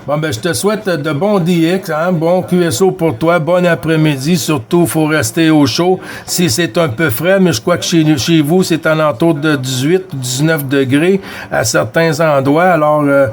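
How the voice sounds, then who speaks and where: A man with a Quebec French accent chatting casually over two-way radio as a CB operator, friendly and repeating himself. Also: on the high side. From Quebec, Canada. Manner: friendly, repeats himself, tags phrases with "hein".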